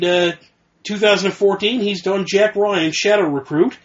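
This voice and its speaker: A man's voice: high voice, fairly bright tone. A man hosting a podcast in a casual chat, reading from his notes, rambling a little and stumbling over himself as he lists things. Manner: laughing, rambling, self-correcting. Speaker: podcast host. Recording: muffled lo-fi recording.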